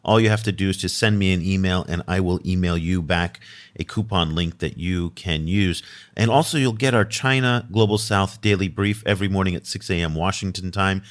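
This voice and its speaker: A man hosting a podcast, casually and enthusiastically pitching the show's subscription to listeners. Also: fairly bright tone. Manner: enthusiastic, conversational sales pitch. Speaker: podcast host.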